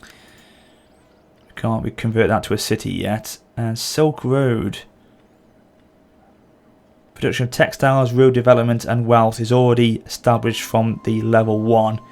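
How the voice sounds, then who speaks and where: A man's voice: mid-range voice, little pitch movement, unhurried, creaky, long pauses, a little mellow. A man with a sore throat and occasional cough, casually commenting as he plays a strategy game. He thinks aloud and corrects himself as he goes. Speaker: gameplay channel host.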